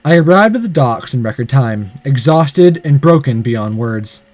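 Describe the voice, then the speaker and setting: A man reading a visual novel aloud in an expressive way, with casual, sweary off-the-cuff commentary as a let's player. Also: medium pitch, normal speed.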